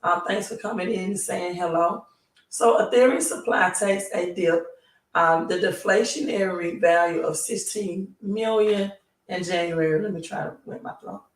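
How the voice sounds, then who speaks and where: A hoarse woman hosting a live stream, talking slowly and pausing often to ask "right?". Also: lowish voice. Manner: slow, halting, repeats "right?". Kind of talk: casual live stream talk. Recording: roomy, distant mic.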